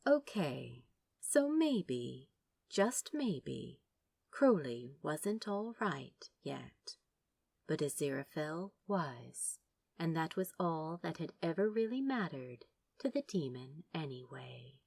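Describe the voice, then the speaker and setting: A woman narrating a piece of fiction from a script in an expressive storytelling style. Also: deep voice, slow, gravelly, slightly rough, no echo. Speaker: podfic narrator.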